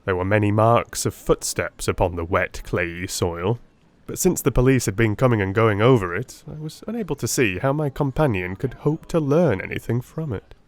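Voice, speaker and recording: A man narrating a classic story as a dramatic audiobook, with theatrical, deliberate phrasing. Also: on the low side, animated.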